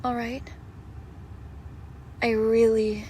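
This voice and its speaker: A woman playing a doting, possessive girlfriend who is secretly a monster, in an intimate, hushed roleplay audio drama. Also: fairly high pitch, little pitch movement, a little hiss or hum. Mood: loving, intimate. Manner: slow, hushed, tender, often dropping to a whisper.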